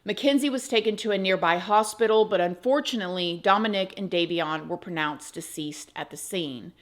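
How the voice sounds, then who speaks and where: A woman's voice: medium pitch, brisk. A woman, hosting a true crime channel and talking chattily and emphatically to her viewers. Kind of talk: casual chat to camera.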